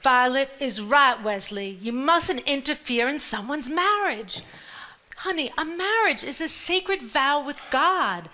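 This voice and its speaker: An actress playing a prim, pious peacemaker in a 1950s family stage drama. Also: female voice, high-pitched, lively intonation, unhurried, bright, forceful tone, heavily acted. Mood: pious scolding. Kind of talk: live stage acting.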